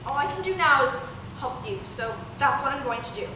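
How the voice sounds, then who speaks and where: A hurt, earnest sibling, played by a woman talks in a high, emotional voice in a stage drama. The recording is distant and noisy, with a lot of room echo. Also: animated, fairly quick. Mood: subdued, earnest. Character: hurt, resentful younger-generation sibling, earnest and dutiful. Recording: echoey room from far off, noisy.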